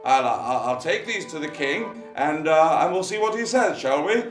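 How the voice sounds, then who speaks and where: A man's voice: fairly high pitch, expressive, normal speed, lightly acted. A man voicing a friendly male castle guard who speaks mildly and hesitantly. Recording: roomy, distant, faint guitar.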